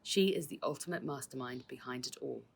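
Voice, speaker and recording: A woman reads the part of a patient policeman in a children's storybook. He explains himself formally and with measured reason. The voice is husky and a little gravelly. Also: very deep voice, exaggerated voice. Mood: firm, explaining.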